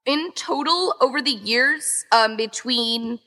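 A woman's voice: high voice, takes it slowly, pushed and bright, big-room sound. A woman chatting casually and informally as a podcast interview guest, peppering her talk with "like". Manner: chatty, informal, heavy use of "like". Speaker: interview guest, event co-founder.

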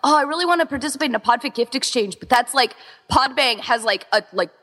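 A woman's voice: high voice, animated, fast talker, fairly bright tone, big-room sound. A woman chatting casually and informally as a podcast interview guest, peppering her talk with "like". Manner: chatty, informal, heavy use of "like". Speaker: interview guest, event co-founder.

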